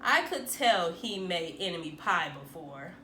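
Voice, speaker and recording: Lady teacher reading a kids book, super lively and slow, echoey room w a bit of noise. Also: on the low side. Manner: animated, dramatic emphasis for young listeners. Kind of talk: expressive children's picture book read-aloud. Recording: roomy echo, faint background noise.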